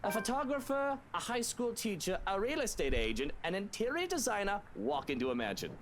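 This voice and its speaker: An actor playing a haughty, irritable rich master of a mansion in a dramatic game, sneering and theatrical. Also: male voice, high-pitched, big pitch swings, a little fast, heavy background noise. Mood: mocking. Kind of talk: dramatic visual novel voice acting. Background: game music.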